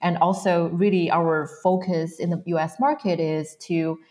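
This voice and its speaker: A woman from northern China answers interview questions in English, her voice sitting a little low. She is in her thirties and fills her sentences with frequent "um"s, laughing softly now and then. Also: steady tone, moderate pace. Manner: frequent "um" fillers, soft laughs. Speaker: guest.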